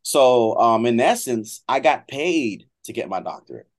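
A middle-aged American man speaks as a guest in a conversational interview. His pitch sits in the middle for a man, and he moves haltingly, repeating and rephrasing his words as he explains things. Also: sing-song. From Miami, United States. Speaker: guest, trombone professor.

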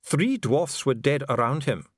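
A man voicing a calm dwarf official with a measured, bureaucratic, smoothly courteous manner in an audiobook. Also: medium pitch, dry recording, clean studio sound, voice pushed up. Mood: coolly polite. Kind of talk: audiobook character voice.

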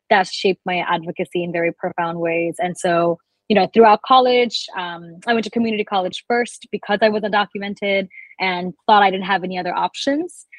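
A woman's voice: medium pitch, dry recording, low-quality recording. A young adult woman from Bangladesh, a guest telling her story in a thoughtful, earnest way. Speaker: guest, immigrant rights advocate. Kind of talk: casual interview.

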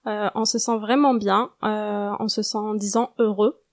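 A woman's voice: mid-range voice, fairly even intonation, a little slow, no room sound. A woman of twenty-seven, answering questions conversationally as a podcast guest. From Algeria. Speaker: podcast guest, international student.

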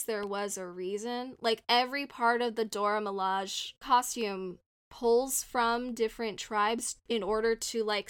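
A woman's voice: medium pitch, clean tone. A young woman in her twenties from North India, chatting casually about a film and often acting out quotes in a playful voice. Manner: animated, quick to agree, acts out quotes in a playful voice.

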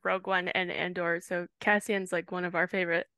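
A woman's voice: on the low side, level tone, brisk, bright, forceful tone, smooth, no room sound, studio quality. A woman hosting a podcast, introducing and interviewing a guest in a friendly way. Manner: friendly, laughs often. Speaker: podcast host.

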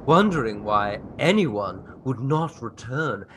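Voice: medium pitch, big pitch swings, slow pace, a bit bright, highs cut off, a little hiss or hum, pitched below the performer's own voice. A performer playing a spooky storyteller narrating a melodramatic radio drama with theatrical, suspenseful phrasing.

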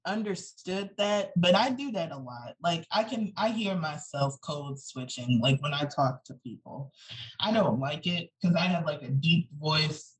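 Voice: medium pitch, poor audio. Young panelist, rambling, stops to search for words. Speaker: student panelist. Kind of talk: casual storytelling.

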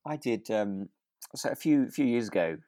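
Deep-voiced man, thoughtful podcast chat. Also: expressive, normal speed, mellow, no room sound. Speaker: podcast host. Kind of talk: casual chat reviewing a radio drama.

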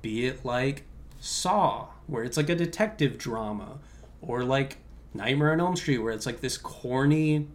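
A man's voice: medium pitch, a little slow. A young man hosting a podcast, talking casually and informally in a rambling way, with "like" sprinkled all through his sentences. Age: young adult. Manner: informal, conversational, heavy use of "like". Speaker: podcast host. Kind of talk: casual rambling podcast talk, answering listener questions.

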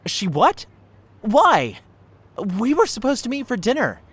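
A man's voice: very high-pitched, wildly swooping pitch, unhurried, a bit bright, breathy edge, exaggerated voice. A man playing an awkward, skeptical young boyfriend in an audio drama, speaking in a hesitant, dry, blunt way. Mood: alarmed, confused. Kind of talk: naturalistic audio drama acting. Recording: noticeable background ambience noise.

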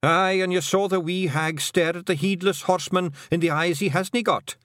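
A man's voice: high voice, steady tone, normal speed, a bit bright, no echo, clean studio sound, pitched above the performer's own voice. A man voicing a tiny, fierce male fairy-clan warrior who speaks in a broad rustic dialect. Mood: eager.

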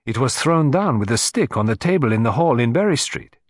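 A shrewd little foreign detective, played by a male narrator, courteous and precise with a playful, teasing streak. The pitch is very lively and the tone slightly husky, in a storybook reading. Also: brisk, dry recording, lightly acted. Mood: musing, amused. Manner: polite, precise, teasing, with French phrases.

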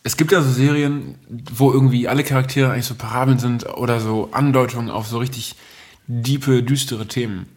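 A man's voice: mid-range voice, steady tone, brisk, a little mellow. A man co-presenting a podcast in casual, humorous conversation.

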